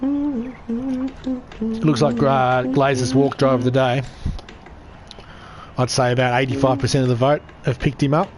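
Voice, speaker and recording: A man commentating an online racing league, croaky from losing his voice, upbeat and chatty with viewers. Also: mid-range voice, lively intonation, unhurried, long pauses, over music, rough recording. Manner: upbeat, chatty, reads out chat. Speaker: race commentator. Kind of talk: live sim racing commentary.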